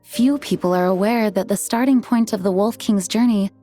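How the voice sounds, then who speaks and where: A woman playing a dutiful, composed knight commander in a video game, speaking in a measured, formal, courteous way. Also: mid-range voice, dark tone, lightly acted. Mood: earnest, thoughtful. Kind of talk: video game dialogue and story narration. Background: music.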